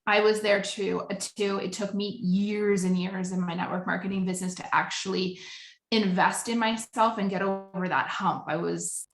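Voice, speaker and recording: A woman hosts a casual interview with effusive enthusiasm. Her pitch is average for a woman. The recording is noticeably roomy, with an echo around her voice. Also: fairly even intonation, moderate pace. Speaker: podcast host, business coach. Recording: roomy, echoing voice pickup.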